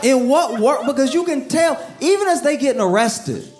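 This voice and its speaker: Animated male stand-up comic, high voice. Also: over music. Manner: animated storytelling, acts out scenes in quick bursts. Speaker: stand-up comedian. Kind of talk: stand-up routine. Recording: live stage recording with audience noise. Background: audience laughter.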